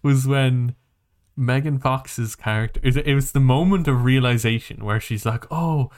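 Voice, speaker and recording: A man's voice: medium pitch, moderate pace, darkish tone. A man co-hosting a podcast, chatting casually about movies and games in a rambling, emphatic, sweary way. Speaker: podcast co-host. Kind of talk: casual movie and game chat.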